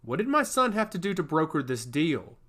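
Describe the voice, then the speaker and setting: A man's voice: a little high, very animated, fairly quick, a bit bright, husky, pitched above the performer's own voice, heavily acted. A man voicing a worried, determined queen and mother in a fantasy story, speaking in an earnest, pressing way. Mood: insistent.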